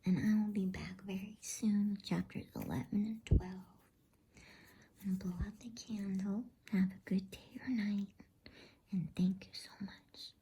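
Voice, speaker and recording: A woman whispers a bedtime story in a soft, breathy, gentle ASMR style. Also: mid-range voice, steady tone, unhurried. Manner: gentle, hushed, soothing. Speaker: host and bedtime story reader.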